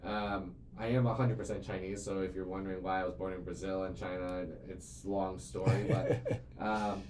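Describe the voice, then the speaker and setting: A man's voice: sits low, flat delivery, normal speed, darkish tone. Earnest young man in a roomy office. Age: 20s. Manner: rambling, repeats words, fillers, earnest. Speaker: CEO and show host. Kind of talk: casual conversational podcast chat. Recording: roomy echo, faint ambient noise.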